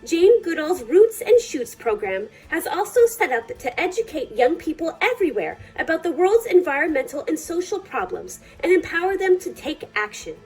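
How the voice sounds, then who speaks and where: A woman reading a picture book aloud for children at storytime, with measured, deliberate phrasing. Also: extremely high pitch, very animated, a little mellow, faint music behind, rough recording.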